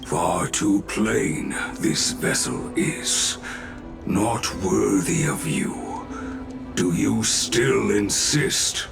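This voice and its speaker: A man's voice: on the low side, very slow, vocal fry, exaggerated voice. A man voicing a grave, solemn blacksmith in a fantasy game, speaking tersely in archaic, inverted phrases. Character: grave old-fashioned male blacksmith, slow and solemn. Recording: echoey, music underneath.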